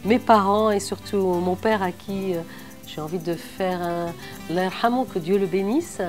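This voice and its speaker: A middle-aged woman from Morocco who is an artist, speaking thoughtfully in an interview with frequent hesitant pauses. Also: mid-range voice, darkish tone, faint music behind. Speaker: artist and cultural organizer. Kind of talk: reflective interview.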